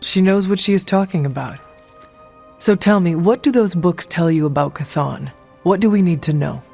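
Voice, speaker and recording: Solemn warrior hero, higher-pitched, tinny. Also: male voice, moderate pace, gravelly. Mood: solemn, earnest. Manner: formal, uncontracted speech, solemn, blunt. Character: stern, resolute male warrior hero, blunt and grave. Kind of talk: video game character dialogue. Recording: thin phone-like audio, music behind.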